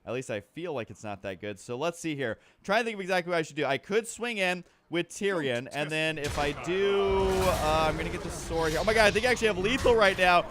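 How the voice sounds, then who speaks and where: Male gaming host, fairly high-pitched, loose and self-deprecating, talks through each play as it comes. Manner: self-deprecating, rambling, thinking aloud. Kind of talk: casual game commentary over a card game.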